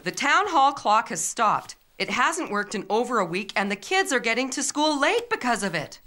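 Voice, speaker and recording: A woman's voice: fairly high pitch, very animated, normal speed, bright, forceful tone. A woman playing a firm, caring mother in her thirties in a cartoon, earnest and emphatic. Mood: businesslike. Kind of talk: animated cartoon voice acting, English dub.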